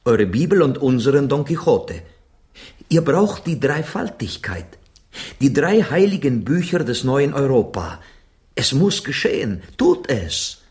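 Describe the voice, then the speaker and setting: Passionate, animated Spanish gentleman. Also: medium pitch, measured pace. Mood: urgent. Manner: declamatory, sprinkles in Spanish exclamations. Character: passionate, philosophical Spanish gentleman of Shakespeare's day. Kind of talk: theatrical German radio drama acting.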